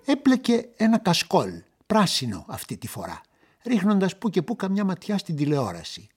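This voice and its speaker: An actress narrating a crime radio play in a measured, ironic way. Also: female voice, fairly low pitch, huge pitch swings, darkish tone, breathy edge, exaggerated voice. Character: wry third-person narrator of a crime tale.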